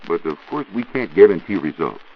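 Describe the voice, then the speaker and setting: A very deep-voiced American man explains science as a reporter on 1940s radio. He speaks deliberately and instructively, with his pitch moving freely for emphasis. The old recording is muffled, with audible noise. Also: expressive. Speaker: science reporter answering listener questions. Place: radio studio.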